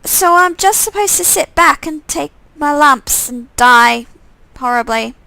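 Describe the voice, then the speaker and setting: A woman's voice: high-pitched, lively intonation, a little slow, gravelly, pushed and bright, no room sound, pitched above the performer's own voice, exaggerated voice, poor audio. A woman voicing a troubled, sardonic young woman in a visual novel, anxious and incredulous in manner. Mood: anxious, wry.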